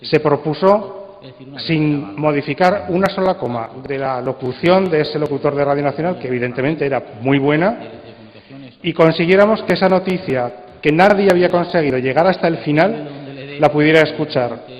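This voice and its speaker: A man's voice: on the high side, moderate pace. A man with a Spanish accent giving an informal conference talk, conversational and putting rhetorical questions to his listeners. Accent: Spain. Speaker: conference speaker on radio drama. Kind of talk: informal spoken presentation. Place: large conference hall. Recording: muffled, echoey hall sound.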